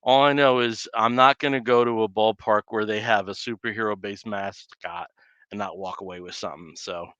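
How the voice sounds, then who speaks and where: A middle-aged man chatting as a podcast host, rambling through his stories with plenty of fillers. His voice is bright and crisp. The sound is dry and slightly dull, as from a home mic on a call. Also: fairly low pitch, steady tone, moderate pace, dry recording. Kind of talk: casual chat.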